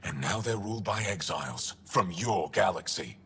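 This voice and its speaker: An actor playing a stern, guarded alien resistance commander in a video game, gruff and curt. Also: male voice, deep voice, lively intonation, normal speed, pushed and bright, exaggerated voice. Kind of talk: dramatic video game acting. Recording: long echoing reverb.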